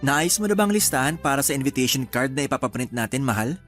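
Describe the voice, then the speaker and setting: An easygoing young groom-to-be in a radio drama , acted by a man. He talks quickly and obligingly in a medium-pitched voice. Light music runs behind the dialogue. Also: animated, a bit bright, close to an everyday voice. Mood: easygoing, practical.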